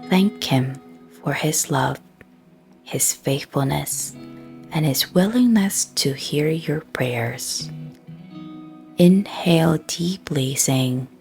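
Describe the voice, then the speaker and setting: Female meditation and prayer guide, soft and breathy with a creaky edge, very unhurried scripted read with frequent pauses. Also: low-pitched, faint music behind.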